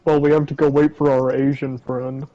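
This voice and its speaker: A man's voice: mid-range voice, little pitch movement, normal speed, dark tone, highs cut off. A man in casual game chat, bantering in a crude, bossy way with plenty of swearing. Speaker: co-op gaming partner.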